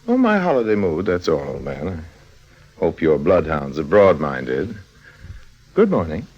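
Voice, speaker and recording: A man's voice: deep voice, wildly swooping pitch, measured pace, darkish tone, faint music behind, full character voice. A man acting a suave, roguish con artist in an old-time radio drama, wry and nonchalant. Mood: breezy. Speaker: lead actor and narrator. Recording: dull old radio recording.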